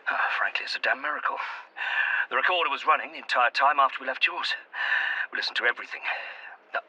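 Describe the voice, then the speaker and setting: A man playing a weary, irritable fugitive in an audio drama, agitated and sardonic, with a husky voice. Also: mid-range voice, fast talker, sharp tone, slight background noise, rough recording. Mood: exasperated. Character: Jeremy Larkin, tense, weary, irritable man on the run.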